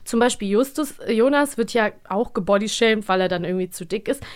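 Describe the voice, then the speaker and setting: A woman's voice: medium pitch, brisk, clear, resonant tone. A woman co-hosting a podcast in casual discussion, speaking frankly and giving her opinions openly. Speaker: podcast co-host.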